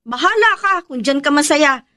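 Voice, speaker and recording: A woman's voice: sits high, animated, normal speed, sharp tone. A Filipino woman acting a hard-up, skeptical younger sister in a radio drama, outspoken and exasperated. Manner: exasperated, pleading, dismissive.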